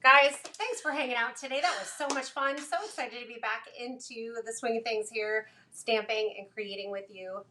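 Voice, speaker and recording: A woman with a bright, clear voice hosts a craft live stream, chatting casually with viewers. She talks playfully and thinks aloud as she works on a card. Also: a little high, fairly even intonation, normal speed, hardly any pauses, a little hiss or hum.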